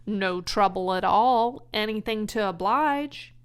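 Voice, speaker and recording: Woman reading as an obliging, slow-talking rat. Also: mid-range voice, clear, resonant tone, natural voice.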